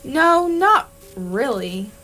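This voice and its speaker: A woman playing an unflappable alien man passing as human in an amateur radio comedy, brisk and matter-of-fact. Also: high-pitched, very animated, pushed and bright, full character voice. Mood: deadpan, brisk. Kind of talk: amateur radio theatre acting.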